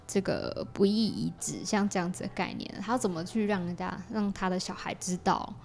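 A woman's voice: medium pitch, fairly quick, vocal fry, a little mellow, faint music behind. A woman with a Taiwanese Mandarin accent explains things in a chatty way as a guest in a casual podcast interview, often repeating her agreement. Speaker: podcast guest, exhibition project creator.

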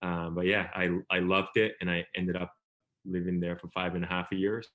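A Japanese man in his thirties speaks English as a second language, in a voice pitched very low. He tells his story as an interview guest in a relaxed, hesitant way, full of fillers and restarts. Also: moderate pace. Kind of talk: conversational storytelling.

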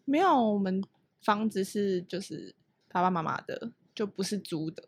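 A woman's voice: mid-range voice, expressive, moderate pace, breathy edge. A woman assisting on a podcast, chatting in a playful, giggly way. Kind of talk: casual chat.

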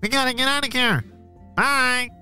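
A man's voice: very high-pitched, moderate pace, bright, forceful tone, clean tone, soft background music, voice pushed up, full character voice. A man voicing a bossy, impatient leader of villainous ninjas in a children's adventure story. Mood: panicked. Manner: impatient, ordering others about. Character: bossy, exasperated male ninja gang leader.

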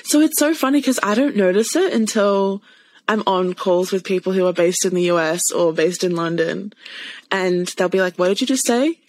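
A woman's voice: mid-range voice, normal speed, no room sound. A woman from Australia with an Australian accent, hosting a podcast in a casual, bubbly chat and laughing often, heard over a remote call. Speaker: podcast host.

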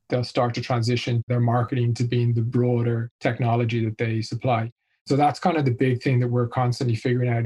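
A man's voice: medium pitch, darkish tone, breathy edge. A man from Ireland answering interview questions as a senior marketing executive, in a conversational, explanatory way.

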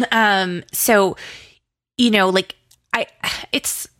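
A woman's voice: mid-range voice, steady tone, extremely slow, a bit bright, dry recording. A woman speaking casually as an interview guest, in informal, hesitant phrasing peppered with "like". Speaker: guest, audio drama co-creator and writer.

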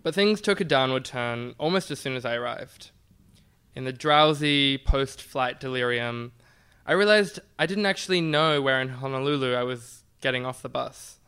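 A man's voice: mid-range voice, normal speed, sharp tone, clean tone. A man performing a scripted comic personal story, wry and self-deprecating.

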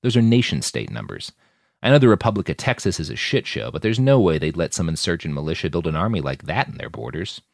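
A man's voice: sits low, very animated, fast talker, vocal fry, no echo, clean studio sound, full character voice. A man voicing a wary, wry ex-killer and old soldier in audiobook dialogue, skeptical and matter-of-fact. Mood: incredulous.

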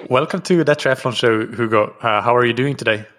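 A man's voice: mid-range voice, a little fast, over music. A man hosting an interview podcast, speaking in a conversational way as he introduces things and asks questions.

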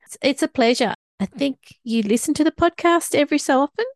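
A woman's voice: high-pitched, expressive, a little fast. A middle-aged or older woman hosting a podcast, speaking in a presenter's style as she introduces and interviews. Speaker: podcast host.

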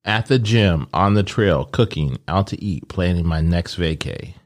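Very low-voiced teasing man. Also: lively intonation, a little slow. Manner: teasing, nosy questioner. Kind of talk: casual chat.

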